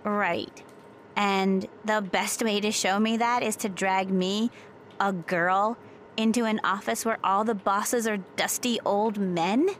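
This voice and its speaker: A woman playing a sarcastic daughter who is bored, reluctant and deadpan. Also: medium pitch, slow pace, a little hiss or hum, lightly acted. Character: bored, sarcastic daughter dragged along by dad.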